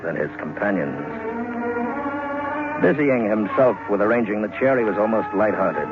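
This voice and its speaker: Low-voiced man narrating horror on tinny old radio. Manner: suspenseful, deliberate phrasing. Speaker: storyteller. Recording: thin, band-limited vintage radio recording with music.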